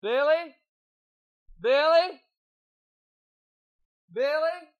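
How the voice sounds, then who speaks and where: A man voicing a young woman who calls out slowly and desperately for her husband in a dark mine. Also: very high-pitched, dull audio, pitched above the performer's own voice, heavily acted. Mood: calling out. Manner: drawn-out desperate calling.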